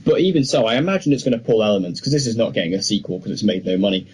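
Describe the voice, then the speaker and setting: Low-voiced young man chatting about films. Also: expressive, rapid-fire, a little mellow, a little hiss or hum. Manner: chatty, opinionated. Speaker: podcast co-host. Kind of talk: casual film discussion.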